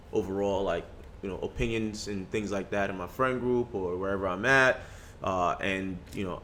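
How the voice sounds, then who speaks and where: A man's voice: lowish voice, fairly bright tone, slight background noise. A young man talking in a casual podcast discussion, reflective and full of restarts. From Nigerian family background. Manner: reflective, with frequent restarts and "you know".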